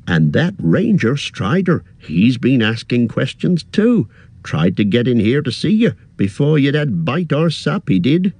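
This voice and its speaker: A man's voice: medium pitch, a little mellow, no room sound, voice pushed up. A man reading aloud, voicing a fussy, anxious middle-aged male innkeeper who chatters in a rustic way. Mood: gossipy.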